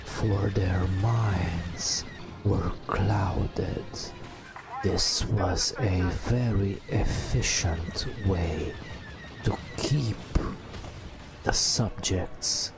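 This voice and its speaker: A man telling a bedtime story in a hushed ASMR style, in drawn-out phrases with long pauses. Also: low-pitched, over music, rough recording. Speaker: narrator. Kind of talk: whispered ASMR bedtime storytelling over game footage.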